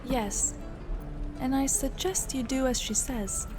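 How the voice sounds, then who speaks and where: A woman voicing her own player character, a polite young elf bard, softly and plainly. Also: high voice, steady tone, slow. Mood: mild. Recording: home-recorded voice over game music.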